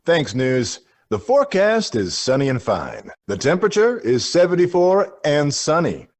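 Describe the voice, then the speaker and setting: An actor playing a relentlessly cheerful, slightly unsettling radio DJ, with theatrical and reassuring retro announcer patter. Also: male voice, a little high, very animated, moderate pace, a little mellow. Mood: brisk, announcing.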